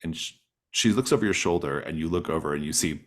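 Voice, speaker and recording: A man's voice: extremely low pitch, fast talker. A man acting as game master, narrating a tabletop roleplaying game off the cuff.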